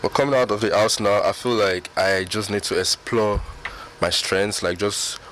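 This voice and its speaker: A man with a very low voice talks in a radio studio in an easy, loose way, pausing on filler words. A faint noise runs underneath him. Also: steady tone, fairly bright tone. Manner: laid-back, many "like" and "you know" fillers. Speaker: reality show ex-contestant guest. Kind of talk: casual radio interview.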